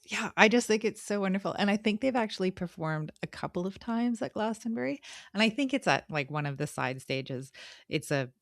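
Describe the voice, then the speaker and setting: A woman's voice: medium pitch, lively intonation, fairly quick, gravelly, dry recording, studio quality. A woman co-hosting a podcast, talking casually about books in a conversational, explanatory way. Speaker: podcast co-host. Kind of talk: casual book discussion.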